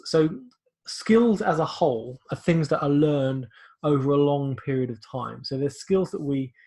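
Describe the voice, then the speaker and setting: A man giving an online class briefing as a teacher, talking with many fillers and restarts in a loud voice. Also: fairly high pitch, gravelly.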